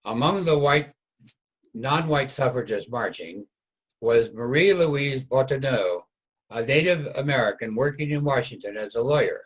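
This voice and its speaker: Husky man narrating a history script. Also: medium pitch, lively intonation, moderate pace, low-quality recording. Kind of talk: scripted narration for reader's theater.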